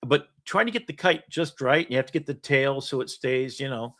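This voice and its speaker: A man's voice: mid-range voice, normal speed. A middle-aged man, the host, telling rambling stories in casual chat, laughing often. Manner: storytelling, animated, laughs often. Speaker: podcast host.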